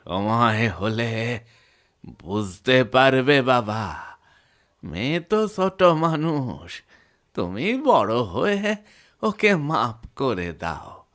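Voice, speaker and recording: A man playing a gentle, patient elderly village uncle in a cartoon story, soothing and halting as he advises. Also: mid-range voice, very animated, exaggerated voice. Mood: gentle pleading. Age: older.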